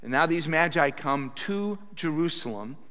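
A man in his sixties preaching a sermon at a pulpit, animated, with bursts of loud acted quotes, speaking into a stand mic in a church sanctuary. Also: fairly high pitch, moderate pace. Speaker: pastor. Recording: reverberant church hall.

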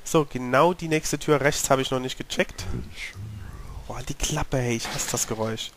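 A German-speaking man with a cold, slightly hoarse, giving chatty commentary while playing a horror game and talking to his character. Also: mid-range voice, normal speed, background noise. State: has a cold, clearing his throat. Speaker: let's play gamer. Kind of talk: casual gameplay commentary.

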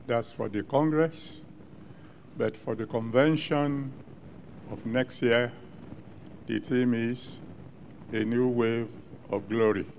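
A man's voice: mid-range voice, fairly even intonation, takes it slowly, long pauses, a little mellow, a little hiss or hum. An older man with a Nigerian accent, a pastor pronouncing blessings in rhythmic, repeated declarations into a handheld mic on a large studio stage. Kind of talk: sermon blessing.

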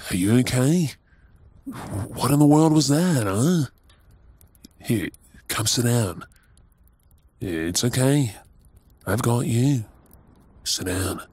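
An Australian man playing a tender, doting young husband in an intimate ASMR audio roleplay, with a soft, breathy voice. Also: medium pitch, no echo, exaggerated voice. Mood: soothing, concerned.

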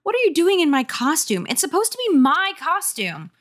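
Woman playing a huffy, animated schoolgirl. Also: fairly high pitch, fairly quick, pitched above the performer's own voice, heavily acted. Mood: annoyed. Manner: insistent, huffy. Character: exasperated, defensive, easily scared schoolgirl. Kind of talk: hammy comic audiobook reading.

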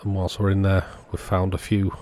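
Low-pitched, mellow man narrating a repair. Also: monotone, normal speed. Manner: matter-of-fact, step-by-step explaining. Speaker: host of a radio repair channel. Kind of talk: informal scripted-sounding voice-over narration of a repair.

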